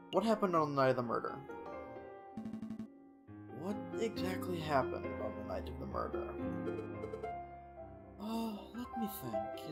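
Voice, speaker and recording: A streamer voicing a naive young noblewoman. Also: medium pitch. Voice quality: nasal. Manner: naive, chatty. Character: young aristocratic woman, sheltered daughter. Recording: music in the background.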